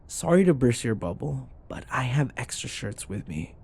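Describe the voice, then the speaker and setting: A man's voice: mid-range voice, big pitch swings, moderate pace, vocal fry, a little mellow, slightly rough, full character voice. A man playing a sarcastic, irritable office rival who taunts and scoffs, in a scripted boyfriend audio roleplay. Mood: irritated. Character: snarky, irritable male office rival, teasing and combative.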